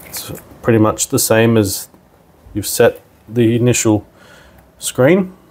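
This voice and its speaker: A man's voice: medium pitch, animated, takes it slowly, darkish tone. A man narrating a hands-on product tutorial in an informal, step-by-step way. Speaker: product demonstrator.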